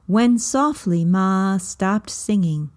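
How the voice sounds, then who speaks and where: A woman reading a children's story aloud as scripted narration, in measured, deliberate phrases with frequent pauses. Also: mid-range voice, lively intonation, a little mellow.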